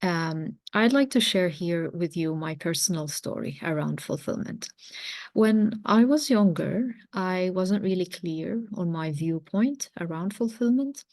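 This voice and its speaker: A woman of about forty speaks as a parenting coach in a very dry, close-sounding recording. Her voice sits a little low with plenty of vocal fry. She is earnest and reflective, halting in places as she talks personally to her listeners. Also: expressive, a little mellow, dry recording. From mountains of Lebanon. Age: around 40. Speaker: parenting coach, series host. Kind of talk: personal talk introducing a series.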